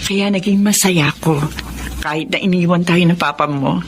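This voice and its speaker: A Filipino woman playing a gentle, forgiving older mother figure in a Tagalog radio drama. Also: lowish voice, moderate pace, slight background noise, lightly acted. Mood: tender.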